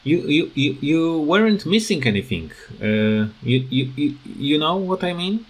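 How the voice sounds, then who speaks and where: Slow, halting man in a casual rant. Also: on the high side, sing-song, breathy edge. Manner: halting, hesitant, repeats words. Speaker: video creator giving commentary.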